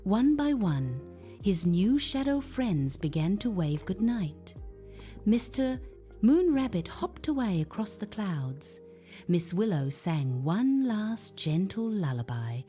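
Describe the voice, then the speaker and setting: A woman gently narrating a scripted bedtime story for children in a hushed, soothing, unhurried manner. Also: medium pitch, sing-song. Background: music.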